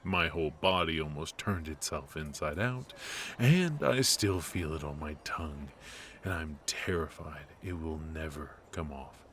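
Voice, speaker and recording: A male actor plays a ruthless mafia boss with a very deep voice. He talks slowly and intimately, teasing and flirting with hums and long pauses in an ASMR roleplay. A faint noise sits behind the voice. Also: fairly bright tone. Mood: teasing, mock-aggrieved. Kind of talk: ASMR roleplay acting, intimate one-sided conversation.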